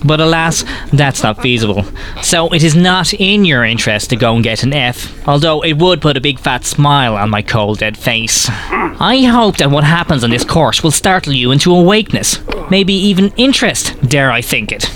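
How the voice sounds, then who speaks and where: A man plays an arrogant male science professor in a comic audio drama, his voice pitched fairly high. He lectures in wordy, sardonic sentences full of contempt for his students. There is faint noise on the recording. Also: lively intonation, normal speed, fairly bright tone. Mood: withering, pompous.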